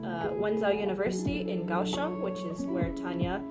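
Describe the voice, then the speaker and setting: A woman's voice: mid-range voice, animated, normal speed, over loud music. A woman from the United States, a university student, gushing informally. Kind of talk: casual testimonial.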